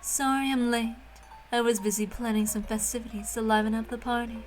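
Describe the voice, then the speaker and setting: Woman acting a dry, taunting witch, fairly high-voiced. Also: level tone, fairly quick, soft background music, natural voice. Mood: haughty, airy. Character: coolly menacing thousand-year-old witch in girl's form. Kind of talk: amateur dramatic reading of a visual novel.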